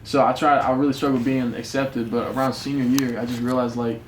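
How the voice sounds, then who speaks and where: A man's voice: medium pitch, flat delivery, fairly quick, a little hiss or hum, low-quality recording. A young man from the Carolinas, a rapper guest talking candidly and with self-mockery on a radio show. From South Carolina, raised in North Carolina. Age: young adult. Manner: candid, self-deprecating, laughs easily. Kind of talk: casual radio interview. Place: radio studio.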